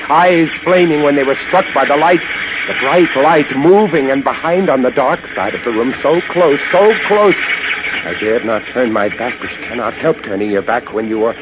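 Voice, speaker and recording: A man's voice: a little high, natural voice. An actor playing a haunted, intense lighthouse keeper, a man narrating an old-time radio horror story in a brooding, dramatic way. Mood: mounting horror. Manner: dramatic, brooding, swinging from hushed to feverish. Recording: muffled, noisy vintage radio recording.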